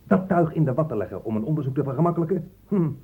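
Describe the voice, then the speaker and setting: An actor playing a gruff, hard-line police inspector in a radio drama, blunt and sarcastic, with emphatic delivery. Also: male voice, a little high, animated, very fast, phone-quality sound. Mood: stern, scornful.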